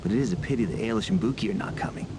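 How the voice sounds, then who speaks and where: An actor playing an eager, friendly young hero in a video game, speaking in an earnest, straightforward way. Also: male voice, on the low side, rapid-fire, noisy background, natural voice. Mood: upbeat. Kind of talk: video game cutscene acting. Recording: game audio with music behind.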